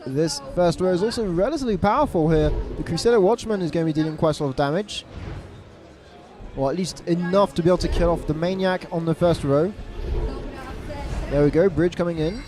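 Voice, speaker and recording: High-voiced male esports caster. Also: a little mellow, faint music behind. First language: English. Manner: quick self-corrections, stressed repeated words. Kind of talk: live game commentary. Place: event venue abroad.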